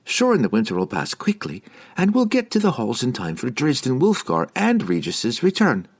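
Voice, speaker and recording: A cheerful, steady woman adventurer from a fantasy storybook, as a male reader puts her on. She stays stubbornly upbeat, with a lifted pitch that moves in very lively swings. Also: moderate pace, slightly rough, heavily acted. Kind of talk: fantasy audiobook character voice.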